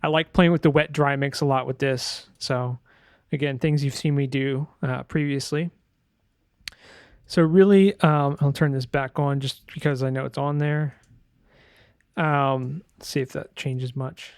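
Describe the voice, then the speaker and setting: A man presenting a sound design software tutorial in a casual, informal way, thinking aloud as he demonstrates. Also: medium pitch, fairly even intonation, unhurried, smooth, no echo, studio quality.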